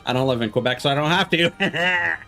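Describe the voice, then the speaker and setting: A man's voice: a little high, a little fast, gravelly, sharp tone, soft background music. A man chatting casually as he streams a game, often hesitating and trailing off mid-thought. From Canada. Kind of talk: casual livestream chat with game text read aloud.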